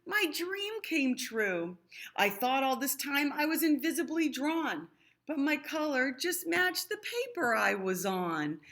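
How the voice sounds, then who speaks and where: A woman's voice: high voice, big pitch swings, slow pace, fairly bright tone, voice pushed up, exaggerated voice. A woman voicing a small, earnest, easily discouraged male squiggle in a children's picture book, in an exclaiming way. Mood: joyful relief.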